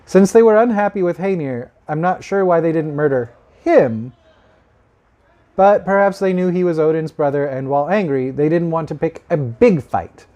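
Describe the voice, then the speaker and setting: Man, slightly high pitch, soft mellow tone, informal storytelling, wry conversational delivery. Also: moderate pace, highs cut off.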